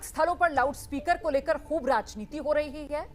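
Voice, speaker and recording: A woman's voice: sits high, normal speed. A woman news anchor presenting breaking news in a broadcast style. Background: light music bed.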